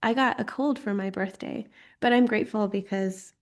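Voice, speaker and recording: A woman's voice: medium pitch, normal speed, a little mellow. A woman with a slightly congested voice, teaching an informal online class in a playful, chatty way with lighthearted asides. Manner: playful, giggly, self-deprecating asides. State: slightly congested from getting over a cold. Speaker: host teaching an online astrology course.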